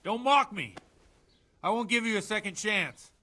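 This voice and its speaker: A scheming village priest nursing a hangover, in video game style. His voice sits fairly high, and he talks in a dramatic, groaning, coarse-mouthed way, panicky and wheedling by nature. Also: expressive, normal speed, sharp tone. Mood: brisk, conspiratorial. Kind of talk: video game cutscene acting.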